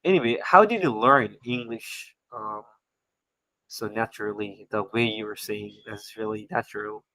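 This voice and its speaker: Halting man hosting a live stream. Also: fairly low pitch, sharp tone, no echo, low-quality recording. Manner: halting, repeats words, non-native grammar. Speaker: host. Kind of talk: casual live-stream chat.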